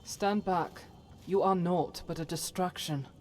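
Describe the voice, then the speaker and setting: An actress playing a devout, troubled warrior woman in a fantasy game, solemn and earnest with halting delivery. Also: on the low side, darkish tone, faint music behind, close to an everyday voice. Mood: stern, fervent. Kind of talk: dramatic fantasy game voice acting.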